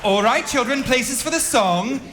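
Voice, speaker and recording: A man's voice: very high-pitched, lively intonation, unhurried, fairly bright tone, some chatter behind, slight background noise, full character voice. A man playing a prim, fussy, motherly governess in a live stage comedy skit. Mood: bossy. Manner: prim, theatrical. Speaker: chorus performer. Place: theater stage. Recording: echoey theater with live music.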